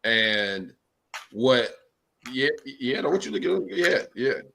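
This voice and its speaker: A man co-hosting a casual podcast chat, speaking haltingly with many restarts and fillers. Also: mid-range voice, sing-song, creaky, bright, forceful tone, slight background noise. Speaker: podcast co-host.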